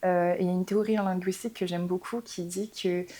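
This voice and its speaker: A woman's voice: fairly low pitch, fairly even intonation, fast, dark tone. A young woman, a band's singer, explaining her ideas thoughtfully in a casual interview, with frequent fillers. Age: young adult. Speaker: singer in a band.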